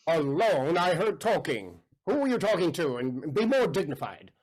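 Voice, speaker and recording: A jealous, domineering count, suspicious and demanding. His voice is fairly high for a man and rises and falls sharply in pitch. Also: sing-song, moderate pace, a little mellow, slightly rough. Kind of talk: stage audio play acting.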